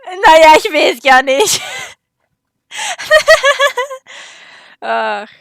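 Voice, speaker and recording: A woman's voice: very high voice, wildly swooping pitch, extremely slow, fairly bright tone, no room sound, clean studio sound. A woman giving casual, wry, teasing asides as she hosts a gaming video. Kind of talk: casual let's-play commentary.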